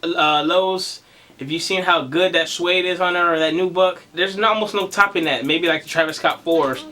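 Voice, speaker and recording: A man, slightly raspy and under the weather with a cough, hosting a casual sneaker unboxing vlog in a slangy, laid-back way. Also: high voice, steady tone, normal speed, sharp tone. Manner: slangy, laid-back, addresses viewers as y'all. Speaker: sneaker vlogger.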